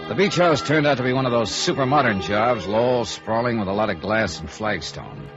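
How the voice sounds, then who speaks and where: A man's voice: fairly low pitch, lively intonation, moderate pace, loud music behind the voice. An American man acting a tough, probing private investigator in a 1950s radio noir, narrating and questioning in a clipped, sardonic way. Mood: wry narration. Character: tough, probing male freelance insurance investigator who narrates. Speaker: lead actor in a radio detective series. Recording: dull, noisy old radio recording.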